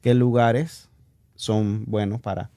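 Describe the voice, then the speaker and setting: A man's voice: fairly low pitch, level tone, slow, warm tone, clear, resonant tone, no echo, clean studio sound. A man, chatting casually and reflecting on his own life as a podcast guest. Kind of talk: casual chat, personal reflection.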